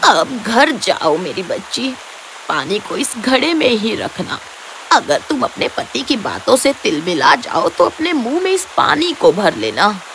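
A woman voicing a kind, wise old village woman, drawing out her motherly advice slowly in a storybook tale. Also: medium pitch, huge pitch swings, a bit bright, slight background noise, full character voice, poor audio. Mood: tender, reassuring. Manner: slow, drawn-out, motherly advice.

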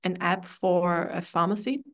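Low-voiced woman on a video call. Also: measured pace. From Austria. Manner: relaxed storytelling, chatty. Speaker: guest. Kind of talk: casual interview chat. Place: home room, indoors.

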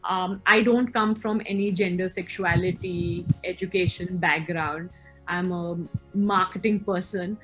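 A woman's voice: lowish voice, steady tone, a little slow, a bit bright, breathy edge, low-quality recording. Indian-accented woman reflecting, music behind. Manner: conversational, hesitant, thinking aloud. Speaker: interview guest, co-founder of a queer community platform. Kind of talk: reflective interview answers. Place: small room indoors, on a video call. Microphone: video call. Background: background music.

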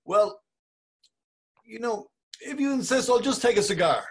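Actor for a husky, fussy, careful gentleman. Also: high voice, expressive, a little slow, heavily acted. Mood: hedging. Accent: Irish. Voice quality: husky, hedging. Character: fussy, hedging middle-aged Bloom, polite. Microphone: video call webcam.

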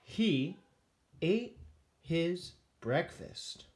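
A man, a first grade teacher, reading aloud for beginning readers in a deliberate way, separating each word. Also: fairly high pitch, expressive.

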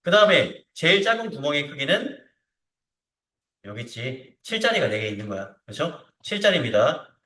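A male instructor walks through a practical software lesson in a casual, checking-in way. His voice sits a little high and swings in lively rises and drops. It is picked up from a distance in a roomy, echoing space. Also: moderate pace. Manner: informal, repeatedly checks understanding with short tag questions. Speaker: CAD instructor. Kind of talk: hands-on software tutorial lesson. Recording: roomy echo, distant microphone.

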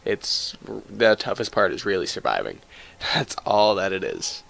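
A man's voice: on the low side, big pitch swings, a little slow, gravelly, a bit bright, rough recording. A man narrating a casual video game walkthrough.

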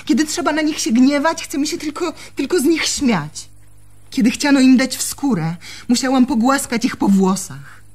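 A troubled young woman in love in a radio drama. Her voice sits high, and she confides in an intimate, brooding, literary way, haunted and anxious. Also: a little mellow. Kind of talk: Polish radio play acting.

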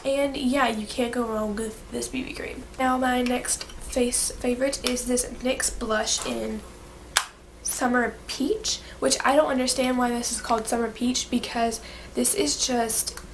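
A young woman chats brightly to a close camera in her bedroom, her nose stuffy from a coming cold. Her voice sits a little high, and she gushes with quick, excited asides. A faint hiss runs under the recording. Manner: bubbly, gushing, quick asides. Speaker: beauty vlogger. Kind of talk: casual monthly favorites vlog. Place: bedroom, indoors.

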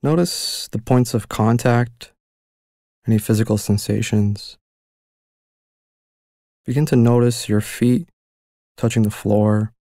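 A man leading a guided mindfulness meditation with slow, gentle and soothing instructions. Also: on the low side, no room sound, clean studio sound.

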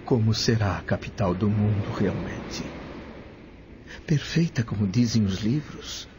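A man's voice: on the low side, expressive, measured pace. A man playing a pensive, troubled slave musing in a radio drama. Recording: ambient noise behind voice.

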